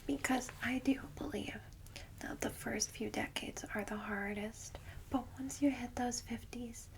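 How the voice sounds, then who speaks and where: Faint steady noise sits behind a breathy, whispered ASMR roleplay. A woman plays a caring female vampire guide, gentle and reassuring, with a fairly high, even voice that stays hushed and soft. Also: unhurried. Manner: gentle, reassuring, hesitant asides.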